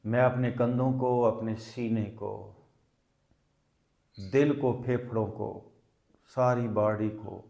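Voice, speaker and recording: An echoey recording of a man guiding a meditation. He speaks very slowly in short, deliberate phrases and leaves long pauses between them. His voice sits at a medium male pitch. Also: steady tone, creaky, warm tone. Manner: mixes English words into Hindi. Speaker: yoga and meditation teacher. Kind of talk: guided meditation instruction. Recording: echoey, reverberant room sound.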